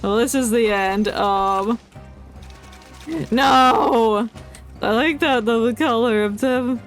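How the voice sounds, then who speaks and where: A woman's voice: fairly high pitch, slow pace. A woman streaming a game with casual, joking live commentary and playful reactions. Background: faint game music.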